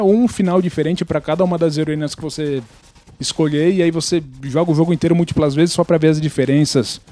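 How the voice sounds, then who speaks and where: A man's voice: a little high, warm tone. A Brazilian man explaining his opinions in casual podcast chat, blunt, opinionated and sometimes foul-mouthed. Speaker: podcast participant.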